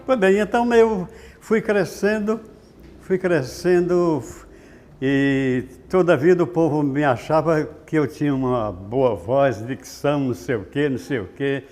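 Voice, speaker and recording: Older male broadcaster from Northeast Brazil, regional accent, rather high voice, rambling informal reminiscence. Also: a little slow, darkish tone, faint music behind. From Sobral, Ceará, Brazil. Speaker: radio broadcaster. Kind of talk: informal autobiographical recollection.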